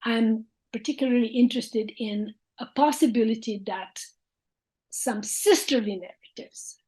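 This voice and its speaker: A woman lecturing in English with a Slovene accent, speaking in a slow, reflective way with frequent hesitant fillers. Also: on the high side, warm tone. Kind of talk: academic lecture.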